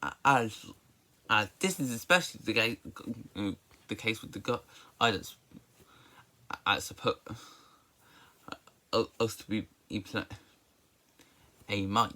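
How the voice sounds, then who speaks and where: A man giving an informal spoken movie review as an amateur critic, haltingly, often stammering and repeating or restarting his words. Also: on the low side, bright, forceful tone.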